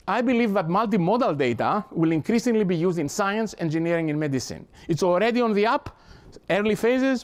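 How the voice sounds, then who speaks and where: A man in his sixties from Greece, speaking English with a Greek accent, gives an academic talk in an earnest, halting manner with many restarts. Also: sits high. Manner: earnest, halting, with frequent restarts and repeated words. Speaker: professor. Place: lecture hall.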